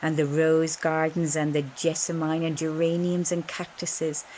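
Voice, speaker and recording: A woman's voice: deep voice, completely flat delivery, a little mellow, pitched below the performer's own voice. A woman with an Irish accent playing a sensual, defiant wife lost in memories, in a dramatic literary stage monologue. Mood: dreamy, rapturous. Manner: dramatic, unhurried, swinging between emphatic bursts and breathy murmurs. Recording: live concert recording with background noise.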